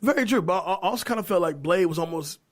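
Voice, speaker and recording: A man co-hosting a podcast, chatting casually in slangy, animated talk and repeating phrases for emphasis. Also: high-pitched, fast. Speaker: podcast co-host. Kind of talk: casual pop-culture chat.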